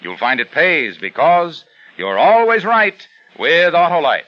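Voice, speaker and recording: A man's voice: fairly high pitch, huge pitch swings, a little slow, music in the background. A man doing a hearty 1940s radio commercial with salesy patter. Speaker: ad pitchman. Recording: muffled old radio recording.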